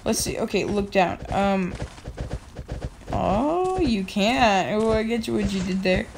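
Excitable young woman narrating gameplay. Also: medium pitch, a little hiss or hum. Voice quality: slightly raspy. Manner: excitable, yells at other players, quick laughs. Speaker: gaming channel host. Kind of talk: casual gameplay commentary.

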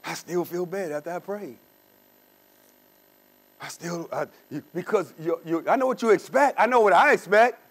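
A man's voice: high voice, normal speed, long pauses, fairly bright tone. A man in his sixties preaching a sermon in a church, emphatic, with call-and-response questions. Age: 60s. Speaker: pastor. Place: church sanctuary with stone wall.

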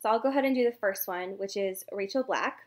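A woman's voice: mid-range voice, fast. A young woman co-hosting a book podcast, recapping chapters in a chatty way, swearing and laughing often. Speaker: podcast co-host. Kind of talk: casual book recap chat.

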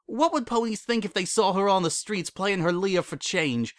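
A man voicing a heartbroken young female pony who speaks in a cold, flat tone that breaks into sobbing, in a dry recording. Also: sits high, normal speed, no room sound, voice pushed up, close to an everyday voice.

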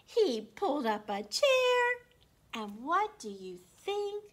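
A woman in her sixties with a slightly froggy throat, hosting an interactive read-aloud for young children in an animated, encouraging way. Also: high-pitched, takes it slowly. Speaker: children's storytime host at a library. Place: small indoor room. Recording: constant room noise.

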